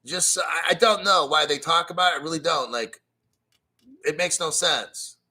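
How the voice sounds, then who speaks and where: A man hosts a casual crypto livestream in a sharp, bright voice that sits a little high. He rants bluntly and with plenty of profanity, mocks rival channels, and keeps clearing his throat as he reads out viewer comments. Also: normal speed. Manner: reads viewer chat donations aloud.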